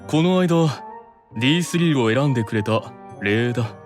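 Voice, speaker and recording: A man voicing a stern but caring elder-brother demon in an anime audio drama, measured, authoritative and sometimes exasperated. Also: mid-range voice, expressive, soft background music, natural voice. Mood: composed.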